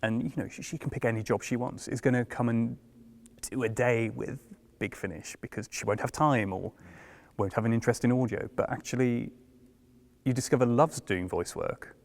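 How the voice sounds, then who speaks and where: Gravelly British man telling stories. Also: medium pitch. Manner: measured anecdotal storytelling. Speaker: producer and director. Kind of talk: casual conversation.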